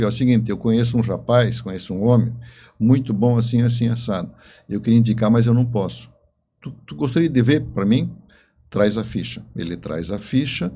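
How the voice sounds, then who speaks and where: Mellow-voiced man telling stories. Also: mid-range voice, slight background noise. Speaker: interview guest, novelist. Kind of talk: conversational storytelling.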